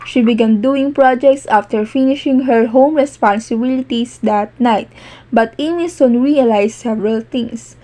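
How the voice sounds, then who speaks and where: A woman narrates a homemade cartoon from a script. Her voice is a little on the high side, in a storytelling role. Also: normal speed, warm tone, poor audio.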